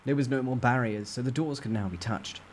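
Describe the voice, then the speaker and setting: A man with a medium-pitched voice delivers dramatic first-person storytelling, as if reading a novel aloud. Noticeable background noise and ambience sit behind him. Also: brisk.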